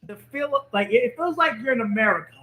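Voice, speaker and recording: A man's voice: very high voice, animated, a little fast, pushed and bright, reverberant, poor audio. A man talking casually and defensively to camera in a played clip, saying "bro" often.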